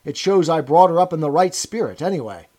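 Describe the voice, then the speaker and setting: A man's voice: on the high side, animated, a little fast, warm tone, voice pushed up. A man voicing an anxious, servile middle-aged prisoner who pleads eagerly in a chummy, sanctimonious way for an audiobook. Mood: doleful pride. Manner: pleading, chummy, sanctimonious, chatty old-fashioned British idiom.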